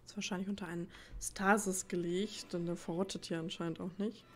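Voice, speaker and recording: A woman's voice: on the low side, fairly even intonation, a little fast, a little hiss or hum. A woman giving casual gameplay commentary in a chatty, playful way, muttering asides to her viewers. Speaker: let's play host. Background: video game ambience.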